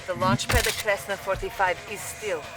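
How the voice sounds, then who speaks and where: An actress playing a poised, dutiful Soviet intelligence officer, giving formal video game briefings. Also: female voice, mid-range voice, fairly even intonation, normal speed, natural voice. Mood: urgent. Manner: earnest, precise, formal. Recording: loud game music behind.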